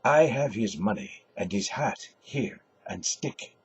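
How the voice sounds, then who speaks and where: A man's voice: fairly low pitch, sing-song, takes it slowly, slightly rough, heavily acted. An older man voicing an anxious, solicitous man minding a friend, in a dramatic novel reading with a raised, hesitant voice. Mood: anxious, obliging.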